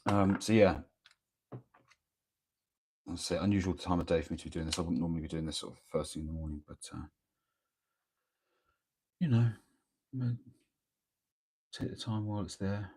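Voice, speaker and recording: A man with a croaky voice from a cold, chatting casually and hesitantly during a morning live stream of his painting, with lots of ums and restarts. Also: deep voice, moderate pace, long pauses, darkish tone. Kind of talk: casual live-stream chat while working. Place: home workspace, early morning. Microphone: microphone near the speaker.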